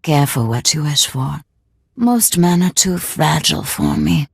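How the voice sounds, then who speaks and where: A woman playing a sultry, sadistic crime boss in a video game, husky and creaky, with a teasing, imperious purr. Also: low voice, huge pitch swings, a little slow, a little mellow, no echo, exaggerated voice. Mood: seductive, teasing. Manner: languid, teasing, purring, imperious. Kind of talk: video game cutscene acting.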